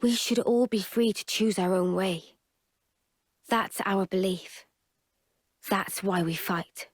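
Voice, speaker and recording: A woman playing an earnest, resolute young fighter in a video game, solemn and reflective, with a breathy, husky voice. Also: mid-range voice, slow, no room sound, clean studio sound. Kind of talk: dramatic video game narration.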